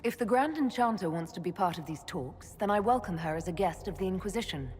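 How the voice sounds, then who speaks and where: An actress playing a firm, composed young leader in a video game, assured and blunt. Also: female voice, fairly low pitch, lively intonation, a little fast, darkish tone, slight background noise, lightly acted. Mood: diplomatic, firm. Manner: assured, direct. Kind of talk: dramatic video game voice acting. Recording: long hall reverb, music underneath.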